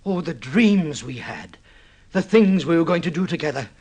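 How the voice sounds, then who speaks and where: An actor playing an excitable, obsessive newlywed man in an old-time radio drama, halting and stammering as he tells his story. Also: on the high side. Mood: wistful, eager. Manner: halting, stammering repeats, swinging into boastful excitement.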